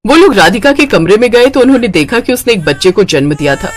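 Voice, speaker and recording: A woman narrates a scripted moral story. Her voice has an average pitch, and she tells the tale as a storyteller would. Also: lively intonation, fast.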